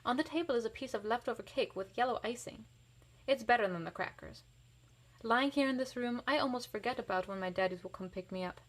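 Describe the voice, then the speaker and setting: A woman's voice: on the high side, brisk. A woman reading a picture book aloud expressively to children, with casual explanatory asides. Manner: animated, varies voice for characters.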